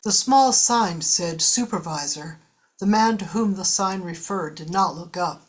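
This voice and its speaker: Lower-pitched woman narrating a story. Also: unhurried. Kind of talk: scripted audiobook-style story narration.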